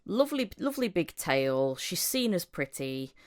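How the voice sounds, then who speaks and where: A woman hosting a casual interview podcast, chatty and giggly, with a halting way of putting her questions. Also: lowish voice, big pitch swings.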